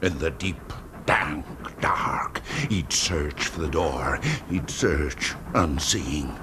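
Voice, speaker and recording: A vengeful man in an old radio horror play, his voice extremely deep. He speaks haltingly, savouring cruelty and slipping into whispers, over faint background noise. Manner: hushed, halting, lingering on words, sinking to whispers. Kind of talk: radio drama storytelling monologue.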